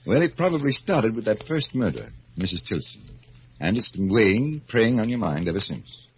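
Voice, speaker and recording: An actor playing a calm, clinical psychiatrist in an old radio thriller, measured and formal. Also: male voice, lowish voice, breathy edge, exaggerated voice. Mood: clinical, coaxing. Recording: muffled, noisy old radio recording.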